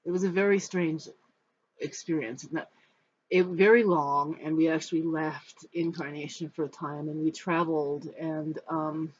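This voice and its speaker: An American in their fifties from Los Angeles, giving a personal talk to camera in a reflective, halting, rambling manner. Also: mid-range voice, steady tone. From Los Angeles, United States. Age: 50s. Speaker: spiritual storyteller. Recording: dull, muffled sound with background noise.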